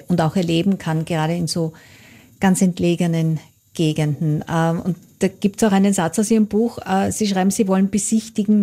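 A woman hosting an interview, asking thoughtful follow-up questions. Her voice sits in a middle range and sounds soft and mellow. She pauses to find her words now and then. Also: medium pitch. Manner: curious, probing, slightly hesitant.

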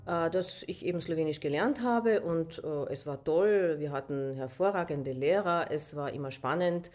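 Reflective Austrian woman, lowish voice. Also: moderate pace, a little mellow. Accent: Austrian German. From southern Austria, Carinthia. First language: Slovene. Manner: reflective, hesitant, with questioning asides. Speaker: interviewee. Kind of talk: personal storytelling.

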